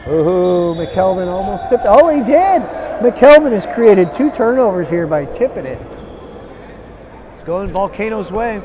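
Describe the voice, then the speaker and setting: A hoarse man with a raspy voice doing live, partisan color commentary for a basketball game. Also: high voice, animated, a little slow, a crowd in the background, background noise. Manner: partisan, cheers on one team with "we". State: hoarse, tired voice.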